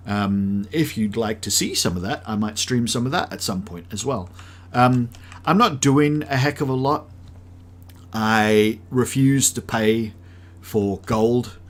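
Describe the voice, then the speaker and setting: A man in his late thirties from South Africa, with an accent that mixes South African, Australian and American. He chats casually and rambles while streaming a game, thinking aloud with many ums. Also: on the low side. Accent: mixed South African, Australian and American. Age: late 30s. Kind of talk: live-stream gameplay chat.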